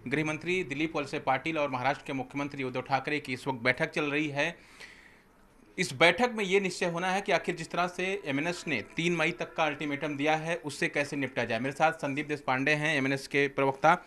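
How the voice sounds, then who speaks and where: Bright, forceful male news reporter. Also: on the high side, fairly even intonation, a little fast. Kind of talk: field news report and interview.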